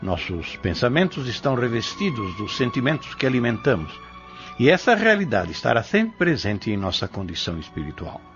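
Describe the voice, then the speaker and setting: A man's voice: mid-range voice, sing-song, normal speed, a bit bright. A Brazilian man presenting a radio drama in solemn, didactic scripted narration. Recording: dull audio, music behind. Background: music.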